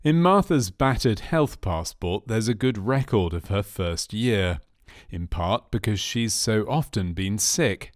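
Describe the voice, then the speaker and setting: A man reading scripted documentary narration for a medical training film in a measured, factual manner. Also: lowish voice, animated, dry recording, studio quality. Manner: measured, factual delivery of clinical case history.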